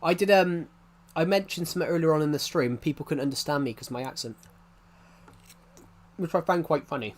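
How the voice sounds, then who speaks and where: Young uk guy with a thick regional accent, streaming, chatty and rambly, keeps restarting, voice on the higher side. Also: fairly quick. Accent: thick regional British. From United Kingdom, outside London. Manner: rambling, repeats himself, many restarts. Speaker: game streamer. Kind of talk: casual live-stream chat.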